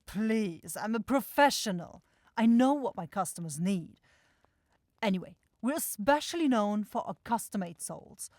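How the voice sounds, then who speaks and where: A woman's voice: medium pitch, lively intonation, unhurried, a little mellow, no echo, clean studio sound, lightly acted. A woman voicing a self-assured demon saleswoman in a fantasy audio roleplay, with effusive, theatrical sales patter. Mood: effusive, confident. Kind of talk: fantasy ASMR roleplay acting.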